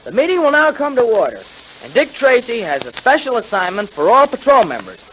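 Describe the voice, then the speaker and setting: A man's voice: extremely high pitch, huge pitch swings, fairly quick, heavily acted. An actor playing an earnest, law-abiding boy sidekick in a 1930s radio adventure, speaking emphatically. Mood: eager, chirpy. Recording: hissy 1930s radio recording.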